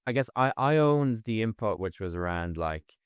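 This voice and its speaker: A man with a very low, clean and resonant voice answers questions as an executive guest. His delivery is conversational, with stammered starts and repeated words. The recording is very dry, with no room sound. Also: lively intonation, moderate pace, smooth, no echo.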